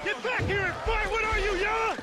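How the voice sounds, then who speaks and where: An actor playing a brawler who shouts taunts, eager to fight. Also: male voice, extremely high pitch, fairly even intonation, fairly quick, over music. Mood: taunting.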